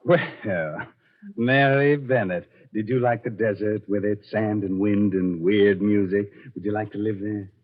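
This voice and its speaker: A man hosting a 1940s radio horror show in a theatrical, drawn-out, sardonic manner full of macabre wordplay. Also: fairly low pitch, fairly even intonation. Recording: muffled 1940s radio broadcast.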